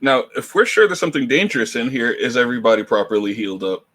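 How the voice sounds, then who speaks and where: A man's voice: medium pitch, sing-song, fast, a bit bright. A man talking casually as a player in a tabletop role-playing game.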